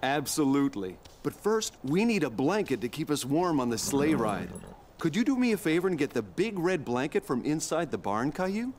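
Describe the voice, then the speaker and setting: A man's voice: fairly high pitch, sing-song, moderate pace, darkish tone, a little hiss or hum. A man playing a friendly, welcoming farmer in a children's cartoon, kindly and explaining things simply.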